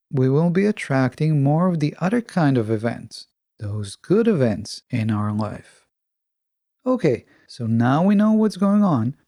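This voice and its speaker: A male podcast host with a mellow, slightly higher voice that moves expressively up and down. He delivers a scripted self-help monologue earnestly, in measured phrases. The sound is dry and close. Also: vocal fry, no room sound.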